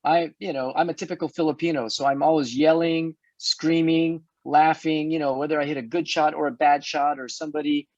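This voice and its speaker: A middle-aged man hosting an online health talk, chatty and hoarse, often restarting his words and laughing. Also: fairly high pitch, little pitch movement, brisk, hardly any pauses, no echo. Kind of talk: casual panel chat. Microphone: video call. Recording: dull dry video-call audio.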